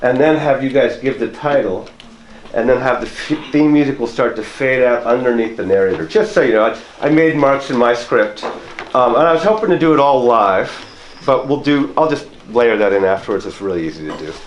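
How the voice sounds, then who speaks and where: A man's voice: medium pitch, expressive, a little fast, slight background noise, rough recording. A man casually explaining things conversationally as a radio station tour guide. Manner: conversational, illustrative. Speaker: radio station staffer guiding a student tour.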